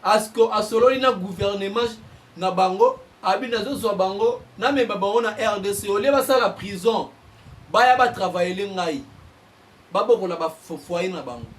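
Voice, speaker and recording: A Congolese man, a journalist and political analyst, giving impassioned commentary and getting louder as he presses his points. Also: high voice, moderate pace, distant mic. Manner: heated, mixes French and Lingala, bursts louder on points.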